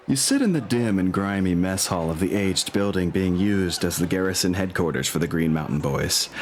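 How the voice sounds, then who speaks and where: A man's voice: low-pitched, a little hiss or hum. A man narrating a tabletop role-playing game as game master, in an informal, improvised way.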